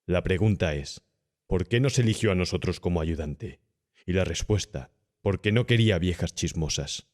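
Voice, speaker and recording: A male narrator performs a cool young doctor in a horror audiobook. His voice sits low, and he talks with smooth, worldly cynicism, insolent yet calmly persuasive. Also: moderate pace, natural voice. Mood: calm cynical persuasion. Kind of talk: audiobook character acting.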